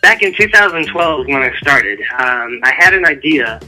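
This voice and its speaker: A man with a very bright, forceful voice that sits a little high. He talks as an author being interviewed on a casual radio show, explaining things in a loose, rambling way. Faint music plays behind him. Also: expressive, normal speed, low-quality recording. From Dallas, Texas, United States.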